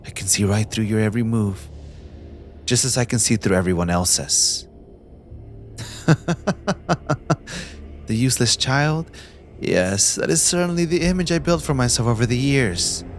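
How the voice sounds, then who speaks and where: A man playing a possessive, manipulative young mafia heir in an audio roleplay, condescending and smoothly taunting with theatrical politeness. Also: mid-range voice, animated, measured pace, long pauses, a little hiss or hum, close to an everyday voice. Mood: cold, accusing, then smug, calculating. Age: young adult. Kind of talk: scripted ASMR audio roleplay addressed to the listener.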